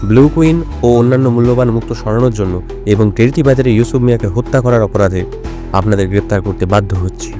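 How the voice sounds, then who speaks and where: A man acting a shrewd, commanding older detective in an audio drama, authoritative and measured. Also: lowish voice, music in the background, lightly acted.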